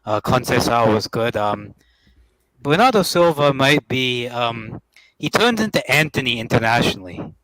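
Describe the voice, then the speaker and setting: A man's voice: mid-range voice, big pitch swings, moderate pace, a bit bright, no room sound, poor audio. A young man in a casual football chat, rambling and hesitant, with many fillers and restarts. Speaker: panelist.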